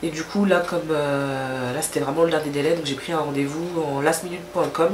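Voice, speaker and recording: A woman's voice: low voice, fairly even intonation, brisk, reverberant, background noise. A woman with a stuffy, nasal voice from a cold, chatting casually to camera in a rambling way with many hesitations. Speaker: vlogger.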